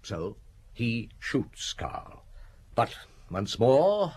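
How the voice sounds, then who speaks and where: An actor playing a shrewd, relentless chief inspector in a radio detective drama, speaking deliberately with probing questions and dramatic pauses. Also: male voice, mid-range voice, big pitch swings, darkish tone, slightly rough, heavily acted. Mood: confident, probing. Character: shrewd, relentless male French police chief inspector summing up.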